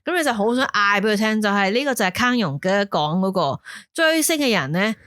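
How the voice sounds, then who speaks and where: A woman with a Hong Kong Cantonese accent co-hosting a casual podcast chat, emotional and animated, swearing freely when worked up. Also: medium pitch, a little fast, dry recording, clean studio sound. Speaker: podcast co-host.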